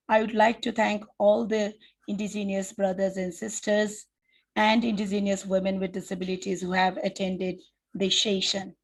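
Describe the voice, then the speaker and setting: A woman with a Nepali accent moderating a conference session online, speaking in a formal, measured way from prepared remarks. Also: medium pitch.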